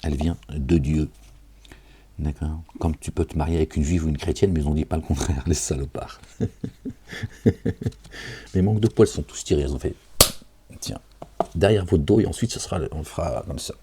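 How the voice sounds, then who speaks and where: A man's voice: very deep voice, moderate pace, pauses often, warm tone, slight background noise. A tired man reading scripture aloud and commenting on it in a casual, digressive way, talking straight to his listeners with chuckles. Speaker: self-styled preacher reading and commenting on scripture.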